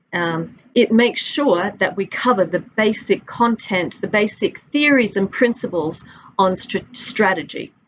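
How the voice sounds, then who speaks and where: A woman's voice: medium pitch, a little hiss or hum. Australian woman lecturer, dull webcam audio. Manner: friendly, conversational, stretches out listed items. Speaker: university instructor. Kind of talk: recorded course introduction. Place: office with a large window. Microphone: webcam at desk.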